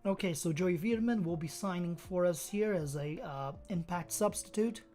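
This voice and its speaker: A woman from Brazil with a fairly low voice, speaking English with a mostly American accent and a faint Brazilian edge. Her first language is Portuguese. She chats casually and rambles as she thinks aloud, over a faint bed of music. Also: moderate pace, dark tone. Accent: mostly American with a slight Brazilian touch. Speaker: streamer. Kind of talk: casual chat with viewers while playing a game.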